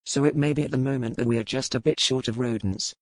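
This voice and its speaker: A woman playing a fierce male pirate captain with a dry, measured, formal manner in an audiobook romance. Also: rumbling low, no room sound, pitched below the performer's own voice. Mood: dry, amused.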